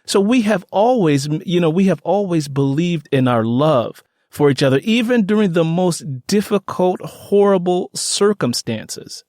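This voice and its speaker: A man's voice: high voice, expressive, measured pace, hardly any pauses, a little mellow, no echo. Earnest American man hosting, close dry sound. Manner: earnest, discursive, with frequent "you know". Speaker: podcast host, interviewer. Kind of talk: reflective interview talk.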